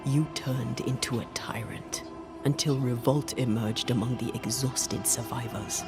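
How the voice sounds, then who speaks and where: A woman playing a grave, resolute ghost investigator in a video game, speaking solemnly and deliberately. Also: a bass voice, fairly even intonation, music in the background. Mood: accusing. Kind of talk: video game drama acting.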